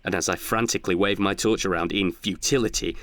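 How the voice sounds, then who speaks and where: A man performing scripted narration of a comic horror tale as a dramatic storyteller, with hushed suspense and deliberate pauses. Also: deep voice, fairly even intonation.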